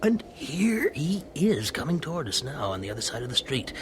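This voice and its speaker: An actor playing an earnest, genial 19th-century American evangelist in an audio drama, with a folksy, persuasive way of talking. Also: male voice, a little high, normal speed, a little hiss or hum. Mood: eager. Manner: animated, folksy storytelling.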